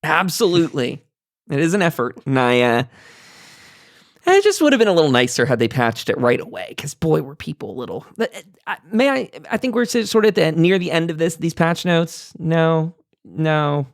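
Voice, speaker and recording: A man's voice: on the high side, very animated, normal speed, dry recording, clean studio sound. A man hosting a casual roundtable, reading notes aloud and commenting in a chatty, digressive way. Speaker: podcast host.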